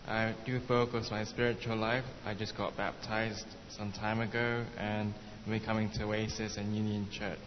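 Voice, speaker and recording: Young male speaker from Hong Kong, low and very even in pitch, informal self-introduction in a hall. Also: moderate pace, few pauses. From born in Hong Kong, later lived in England. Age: young adult. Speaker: participant introducing himself. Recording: dull, hissy, echoey hall recording.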